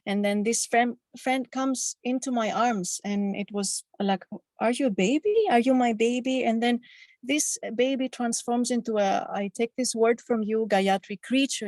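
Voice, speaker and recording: A woman whose first language is Greek, slowly and haltingly telling a personal story on a video call. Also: fairly high pitch, fairly even intonation, a little mellow, no room sound. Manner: slow, halting, reflective storytelling. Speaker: satsang participant.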